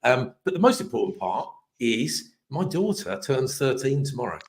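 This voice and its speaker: A man hosting a live webcast, chatty and upbeat, rambling with restarts, his voice a little hoarse. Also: mid-range voice, sing-song, normal speed. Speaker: host.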